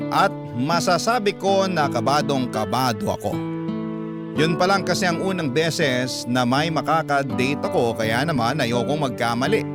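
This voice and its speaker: A man with a Filipino accent reading a scripted first-person love story for radio in an earnest, measured way. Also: mid-range voice. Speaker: storyteller reading a listener's letter. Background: guitar music bed.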